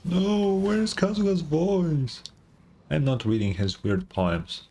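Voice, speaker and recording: A man's voice: on the high side, slow pace, warm tone, a little hiss or hum. A man doing casual live gameplay commentary as a streamer, talking in an informal, slangy, wry way. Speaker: game streamer.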